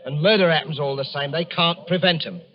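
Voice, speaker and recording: A man's voice: a little high, brisk, close to an everyday voice. An actor playing a meek, forgetful middle-aged man in a vintage radio thriller, hesitant and muttering. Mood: uneasy, brooding. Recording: muffled old radio drama recording.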